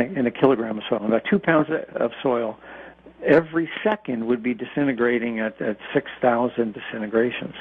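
A man in his sixties with a husky voice, explaining as an expert radio guest in a conversational, halting way. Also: mid-range voice. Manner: halting, conversational, searching for words. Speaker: expert guest, nuclear specialist. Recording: dull, muffled radio interview audio.

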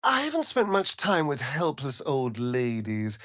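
An actor playing a sardonic, flirtatious vampire rogue in a fantasy video game, with an arch, theatrical, drawling delivery and sly asides. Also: male voice, mid-range voice, huge pitch swings, unhurried, a bit bright, no echo, clean studio sound. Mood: arch, amused. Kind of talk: video game character voice acting.

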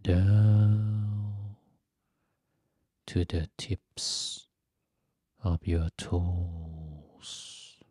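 A man leading a guided meditation in a soft, breathy, gentle voice, speaking in short phrases with long pauses between them. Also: deep voice, fairly even intonation, no echo, studio quality. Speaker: Buddhist monk teaching meditation.

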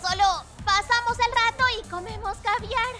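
A woman's voice: very high voice, steady tone, moderate pace. A woman voicing a bold, spirited, dramatic young girl hero in a cartoon, exclamatory and theatrical. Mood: eager. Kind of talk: animated cartoon dub acting. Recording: loud music behind.

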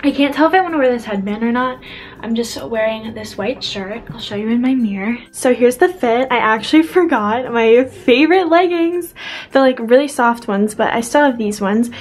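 A woman's voice: fairly high pitch, expressive, moderate pace. A young woman in her dorm room, chatting bubbly to a handheld camera at arm's length, full of "like" fillers. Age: young adult. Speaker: vlogger. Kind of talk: casual vlog chat. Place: small college dorm room, indoors.

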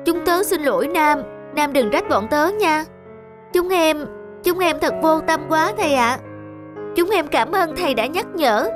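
Very high-voiced, polite schoolchild. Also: female voice, lively intonation, unhurried. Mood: apologetic. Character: contrite, polite young schoolchildren. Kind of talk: Vietnamese cartoon dubbing. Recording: loud music under the voice.

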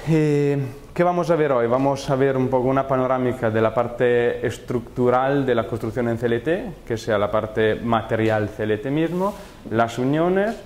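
A man's voice: mid-range voice, darkish tone. A man in his thirties from Italy speaking Spanish with an Italian accent, giving a technical workshop talk in a lecture room on a handheld mic, often stopping to correct himself. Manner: halting, self-correcting non-native phrasing. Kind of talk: technical presentation to an audience. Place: large lecture room with projector. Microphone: handheld microphone.

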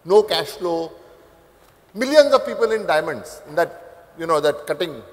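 Brief: older Indian panelist, animated and gesturing, high-pitched voice, lapel mic, echo of a large hall. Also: male voice, measured pace. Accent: Indian English. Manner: animated, gestures broadly while talking. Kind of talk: panel discussion. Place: indoor conference stage.

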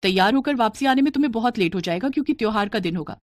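A woman's voice: on the high side, animated, racing, slight background noise, close to an everyday voice. A woman voicing a kind, practical young sister-in-law with a helpful, reassuring manner in a cartoon story. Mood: cautioning. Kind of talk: animated moral story dialogue.

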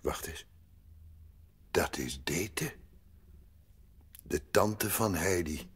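An actor playing a gruff, stubborn old grandfather in a radio play, husky and blunt, speaking slowly. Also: low-pitched, lively intonation, full character voice. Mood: gruff, wary. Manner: slow, grumbling, blunt. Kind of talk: Dutch radio play acting.

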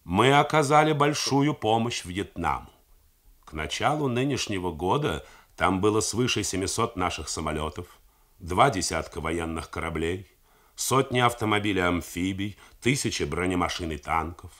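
Man doing a grim older defense minister, slow and deliberate, deep voice swinging around. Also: lively intonation, fairly bright tone. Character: blunt older male defense minister, measured. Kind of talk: radio drama reading.

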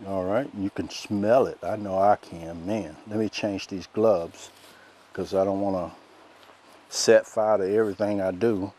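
A soft-spoken middle-aged man, demonstrating a recipe outdoors as a cooking show host in a relaxed, folksy way. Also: low-pitched, measured pace, slight background noise. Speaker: chef host. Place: outdoors by a lake in woods.

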